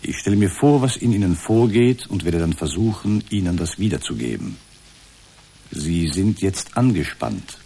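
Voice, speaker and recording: An actor playing an unflappable, formally polite therapist in a satirical radio drama, speaking in measured, stilted counselling phrases. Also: male voice, deep voice, expressive, dark tone, a little hiss or hum. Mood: formally soothing. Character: unflappable automated male therapist, formally polite, echoing the patient.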